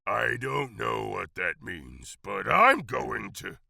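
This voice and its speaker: A man voicing a gravelly mantis monster. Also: fairly low pitch, very animated, slow, sharp tone, dry recording, studio quality, exaggerated voice. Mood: menacing. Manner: menacing, hungry and mocking. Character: man-eating giant mantis monster in disguise. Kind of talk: audio drama acting.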